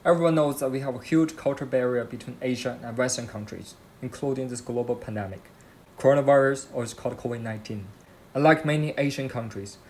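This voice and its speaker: A man's voice: mid-range voice, darkish tone, slight background noise. A man hosting a video, introducing it and asking passers-by friendly, direct questions. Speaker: host and street interviewer.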